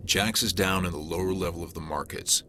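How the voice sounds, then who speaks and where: An actor playing a hard-nosed alien police detective in a video game, brusque and pragmatic, with clipped delivery. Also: male voice, deep voice, fairly even intonation, a little fast, pushed and bright, slight background noise.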